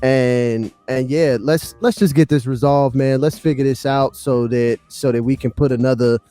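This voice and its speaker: A man's voice: medium pitch, steady tone, normal speed, mellow, smooth, no room sound, soft background music. A man co-hosting a podcast, chatting informally with hip-hop slang. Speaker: podcast co-host. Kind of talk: casual chat.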